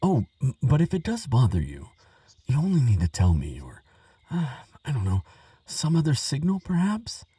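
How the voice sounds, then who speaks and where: A man playing a nervous, kindly, chatty researcher in an audio roleplay, hesitant and gentle, rambling with small stammers. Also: mid-range voice, huge pitch swings, normal speed, breathy edge, no echo, far from the mic, heavily acted. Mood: awkward, apologetic. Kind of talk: audio roleplay monologue addressed to the listener.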